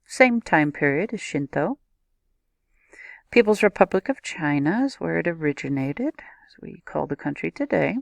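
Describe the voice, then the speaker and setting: Woman, low voice, video lecturer, steady and explanatory, dry recording. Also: moderate pace, vocal fry, no echo. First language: not a native Japanese speaker. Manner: explanatory, methodical, addresses viewer directly. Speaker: teacher.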